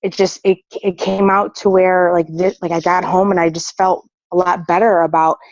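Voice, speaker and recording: A woman's voice: fairly low pitch, level tone, moderate pace, no echo. A woman on a video call who is losing her voice from a cold, speaking hesitantly and often restarting her sentences. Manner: hesitant, with many fillers and restarts. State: losing her voice, getting sick. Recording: dull video call audio.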